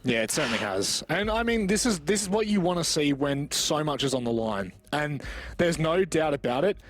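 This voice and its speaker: A man doing live esports play-by-play commentary, dramatic and animated in his reactions, with casual banter mixed in. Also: fairly high pitch, moderate pace, dry recording.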